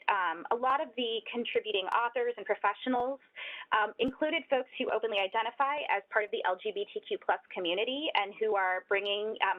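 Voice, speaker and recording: A woman presenting a webinar, talking with frequent ums and restarts. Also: mid-range voice. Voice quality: hesitant, many ums and restarts. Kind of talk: scripted-ish webinar talk with frequent fillers. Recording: tinny phone-like line.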